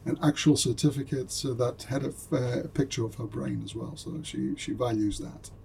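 A man's voice: medium pitch, steady tone, normal speed, creaky, darkish tone, a little hiss or hum. A man whose first language is German, speaking English in a hesitant way with many fillers, chatting with colleagues in an academic meeting. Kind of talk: casual academic discussion.

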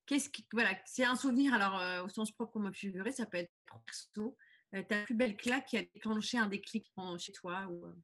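A woman's voice: medium pitch, brisk, fairly bright tone. A woman interviewing a guest in a casual chat, friendly, thanking him and adding short comments.

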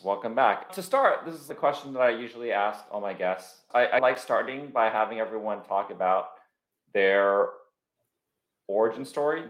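A man hosting a podcast, talking in a relaxed, conversational way while recording remotely. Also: on the low side, reverberant. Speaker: podcast host. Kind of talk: podcast interview.

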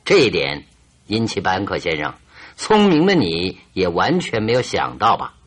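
A sharp confident detective in a radio play, really animated and emphatic, bit husky. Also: male voice, low-pitched, full character voice. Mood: confident, accusing. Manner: theatrical, emphatic, deliberate reasoning delivery. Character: shrewd, confident male detective, stern yet teasing. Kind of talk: Chinese radio drama acting, expository monologue.

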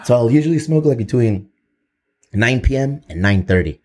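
A man in his thirties hosts a loose, joking podcast chat. He swears freely and slips into Spanish phrases as he talks, with a voice of ordinary pitch for a man. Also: expressive, moderate pace, darkish tone. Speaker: podcast host. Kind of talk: casual podcast banter.

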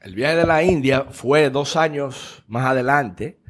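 A man's voice: mid-range voice, a little slow, fairly bright tone. A young adult man with a Dominican accent, telling stories conversationally as an interview guest. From Dominican Republic. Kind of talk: casual conversational storytelling.

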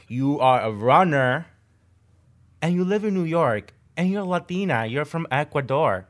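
A man's voice: a little high, animated, measured pace. A man hosting a podcast interview, chatty and enthusiastic. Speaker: podcast host. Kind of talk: casual interview conversation.

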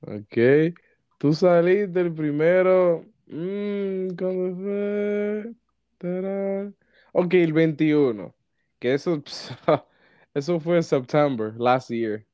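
A man's voice: high voice, very slow, mellow, smooth, no echo, lo-fi sound. A man with a Dominican Spanish accent hosting a podcast, chatting casually and teasingly, slipping between Spanish and English. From Dominican Republic. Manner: teasing, jokey, mixes in English. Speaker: podcast host. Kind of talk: casual bilingual chat.

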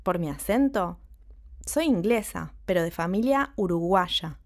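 Story figure: chatty young woman journalist, slow and polite, voiced by a woman. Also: fairly low pitch, lively intonation.

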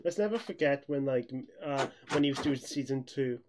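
A young man co-hosting a casual podcast, telling anecdotes in an excitable, rambling, giggly way. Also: medium pitch, fairly quick, slight background noise. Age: young adult. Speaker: podcast co-host.

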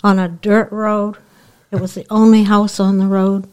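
A woman's voice: medium pitch, little pitch movement, moderate pace, darkish tone, clean tone. An older woman from New Hampshire, a podcast guest recalling her childhood in plain, brief answers. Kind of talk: reminiscing in conversation.

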